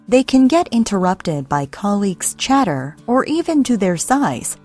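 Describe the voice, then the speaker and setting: Woman doing a formal explainer voiceover, pitch moves around a lot, music behind her. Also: darkish tone. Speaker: narrator of an explainer video. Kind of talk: scripted explainer narration. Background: background music.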